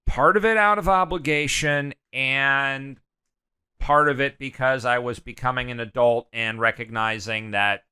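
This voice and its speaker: A middle-aged man being interviewed, talking candidly and loudly, full of "you know" and restarts. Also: mid-range voice, a little slow, clean tone. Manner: loud, animated, hesitant with many fillers. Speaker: guest.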